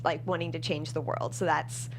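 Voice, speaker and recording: A woman's voice: low-pitched, steady tone, moderate pace. A woman from Kentucky speaking casually as a guest screenwriter in an interview. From Kentucky, United States. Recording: noisy room with hum.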